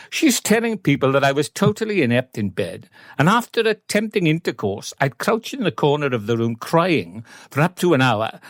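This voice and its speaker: A man delivering a measured, deadpan comic rant. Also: mid-range voice, no echo, studio quality. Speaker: comedian.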